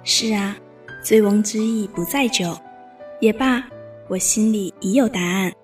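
A woman playing a gentle, bookish young noblewoman in a Chinese period audio drama, poised, with literary phrasing. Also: mid-range voice, a little slow, natural voice. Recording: music behind voice.

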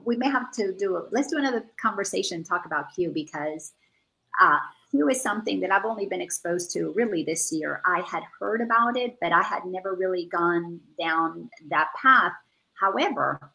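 A woman's voice: lowish voice, gravelly, pushed and bright, rough recording. A woman who grew up in the Dominican Republic, speaking earnestly over a video call and repeating words as she searches for them. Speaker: guest. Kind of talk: casual spiritual chat.

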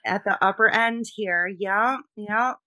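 A woman's voice: mid-range voice, measured pace, sharp tone, no echo. A middle-aged woman from Rhode Island, a military spouse and veteran, chatting as a podcast guest in a friendly way with frequent fillers. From Rhode Island, USA. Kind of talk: conversational podcast interview.

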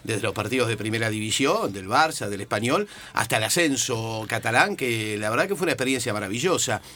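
A man's voice: medium pitch, sharp tone. A man with an Argentine accent, a football commentator, chatting as a guest in a radio interview and often adding little tag questions. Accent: Argentine Spanish. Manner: talkative, repeats words and adds tag questions. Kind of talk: relaxed radio interview chat.

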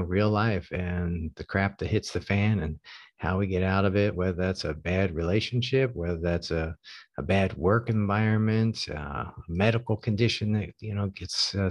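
Man, podcast host, low voice, dry close sound, earnest, lots of ums and uhs. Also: no echo. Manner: frequent filler words, earnest and plain-spoken. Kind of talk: casual podcast talk. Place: America.

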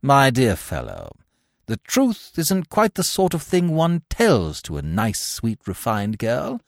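A stiff young gentleman, played by a male narrator, pompous and quick to indignation. The pitch moves dramatically, and the recording is dry and close, in audiobook manner. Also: wildly swooping pitch, vocal fry, dry recording, heavily acted.

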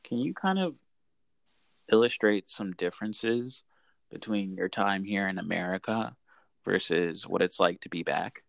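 A man hosting a casual interview, phrasing his questions in a halting, thoughtful way. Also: deep voice, lively intonation, gravelly, no room sound. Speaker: interviewer host.

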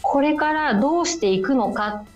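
A woman's voice: high-pitched, animated, moderate pace, a little mellow, faint music behind. A woman speaking as a radio interview guest, explaining things politely in a conversational, somewhat hesitant way. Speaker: guest, film publicist.

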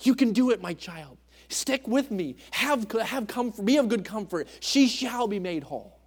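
A pastor speaking the words of Jesus as a gentle, reassuring teacher. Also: male voice, high-pitched, lively intonation, normal speed, voice pushed up. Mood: urging. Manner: comforting, pleading.

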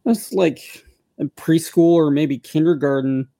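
A man in his forties from the United States West Coast, co-hosting a podcast and telling stories in relaxed casual chat. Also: on the high side, measured pace, gravelly, no room sound, studio quality. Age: 40s. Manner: relaxed storytelling, agreeable. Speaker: podcast co-host.

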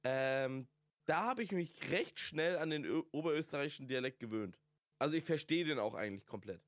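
A man's voice: fairly high pitch, expressive, fairly quick, no echo, clean studio sound. A man chatting casually and telling slangy anecdotes as a podcast guest. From northern Germany. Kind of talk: casual chat.

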